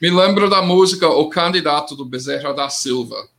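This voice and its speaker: A middle-aged man speaking Portuguese with a non-native accent, commenting as a guest in a political discussion, plain-spoken with halting grammar. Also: fairly high pitch, vocal fry, a bit bright. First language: not Portuguese.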